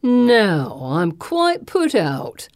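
A woman's voice: medium pitch, wildly swooping pitch, very slow, darkish tone, no room sound, studio quality, full character voice. A woman narrator voicing a menacing male villain who taunts and threatens with a drawling sneer, in an audiobook. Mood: taunting. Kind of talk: audiobook character voice.

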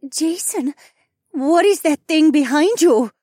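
A woman playing an emotional, flustered middle-aged mother in an audiobook, halting as she talks. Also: sits high, big pitch swings, dry recording, studio quality, full character voice. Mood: shaken, tender. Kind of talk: audiobook character acting.

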